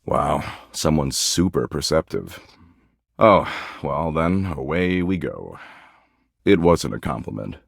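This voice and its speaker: An actor playing a smug, crude, sarcastic embodiment of existential dread in a dating game, snarky, dismissive and boastful. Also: male voice, very deep voice, lively intonation, slow, creaky, dry recording, studio quality, heavily acted. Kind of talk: dating sim character dialogue.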